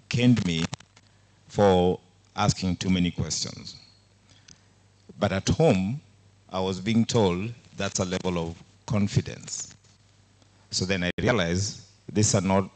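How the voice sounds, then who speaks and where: A man with a very low, deep voice whose first language is Luo. He speaks as a panelist to a live audience. His talk is reflective and story-driven, with pauses and fillers. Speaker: panelist from a social entrepreneurship organization.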